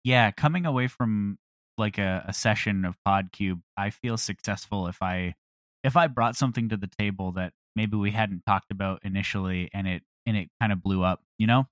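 A man's voice: on the low side, normal speed, fairly bright tone, no room sound. A man talking casually as a guest in an interview. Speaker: comedy podcast creator.

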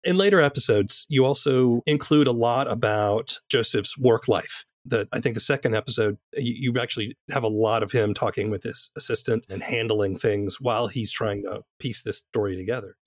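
A man interviews conversationally as a podcast host. His voice is of average pitch. The recording is dry, with little room echo. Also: normal speed, hardly any pauses.

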